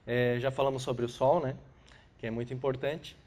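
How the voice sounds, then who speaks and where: A man's voice: mid-range voice, fairly even intonation, normal speed, a little mellow. A Brazilian man giving an astrophysics class lecture, congested with a cold, explaining didactically with short tag questions.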